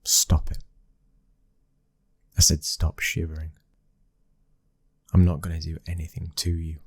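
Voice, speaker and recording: A man's voice: rumbling low, monotone, a little slow, breathy edge, dry recording, heavily acted. A British man playing a cold, commanding young mafia boss's son in an ASMR roleplay, terse and domineering. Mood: menacing, commanding.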